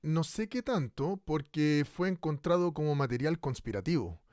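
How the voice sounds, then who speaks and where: A man's voice: a little high, animated, normal speed, darkish tone, no room sound, clean studio sound, natural voice. Man playing a theatrical radio announcer. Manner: announcer cadence with hesitant fillers. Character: male radio host of a future broadcast, theatrical. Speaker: radio announcer in a future broadcast. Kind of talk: radio drama acting.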